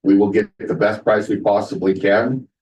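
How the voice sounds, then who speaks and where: A man chairing a town council meeting, brisk and matter-of-fact. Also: fairly low pitch, steady tone, darkish tone, distant mic, dull audio, a little hiss or hum.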